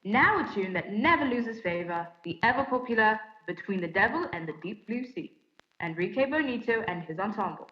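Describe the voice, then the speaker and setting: A woman's voice: mid-range voice, lively intonation, fairly quick, natural voice. Echoey formal radio announcer woman, acted. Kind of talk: scripted 1930s radio news announcing. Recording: echoey room, slightly dull sound.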